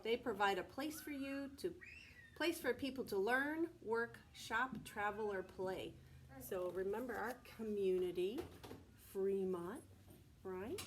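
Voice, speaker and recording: A woman preschool teacher reading aloud to young children in a deliberate, child-directed way, often asking prompting questions. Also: mid-range voice, slight background noise. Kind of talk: read-aloud and sing-song circle time for young children.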